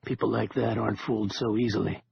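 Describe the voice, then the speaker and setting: A male narrator giving voice to a calm, guarded man who leads quietly. His delivery is low and unhurried. The recording is muffled with faint hiss. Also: creaky. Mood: measured.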